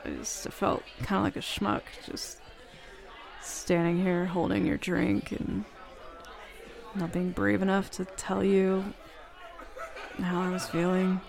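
Woman acting a halting, gravelly, smitten bad-girl roommate. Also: on the low side, darkish tone. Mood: shy, tender. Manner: halting, nervous, stammering with breathy laughs. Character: flustered, smitten, jealous bad-girl roommate confessing a crush. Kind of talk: intimate roleplay audio acting. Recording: bar crowd murmur behind voice.

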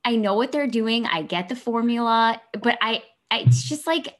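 Halting, thoughtful woman in her thirties. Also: a little high, lively intonation. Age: 30s. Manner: thoughtful, halting, frequent fillers. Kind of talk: casual chat.

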